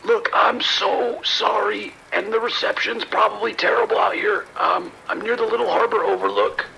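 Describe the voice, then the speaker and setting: A man's voice: sits high, fairly even intonation, normal speed, gravelly, pushed and bright, slight background noise, full character voice, low-quality recording. A man playing a frightened, shaken civilian calling emergency services in a horror audio drama, stammering and anxious. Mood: shaken, guilty.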